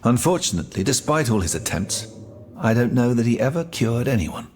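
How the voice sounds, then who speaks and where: An actor playing a haughty, dismissive scholar of old France in a video game, pompous and formal in delivery. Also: male voice, mid-range voice, sing-song, a little fast, a little mellow, slight background noise, close to an everyday voice. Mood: scornful. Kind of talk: video game cutscene dramatic acting.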